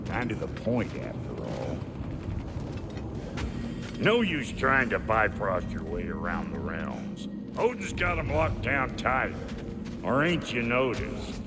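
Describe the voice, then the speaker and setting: A gravelly, cranky dwarf blacksmith from a video game, with a folksy American accent. He drawls slowly and swoops wildly in pitch, gruff and teasing. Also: huge pitch swings, over loud music, heavily acted. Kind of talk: video game character acting.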